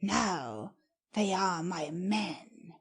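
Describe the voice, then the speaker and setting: A woman playing a cold, ambitious, scheming nobleman in a measured dramatic reading of Shakespeare. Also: lowish voice, animated, husky, heavily acted.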